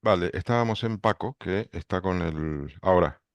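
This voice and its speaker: A man hosting a book club video call, briskly greeting each member. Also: deep voice, no echo. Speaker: book club director and host. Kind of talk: calling roll on a video call.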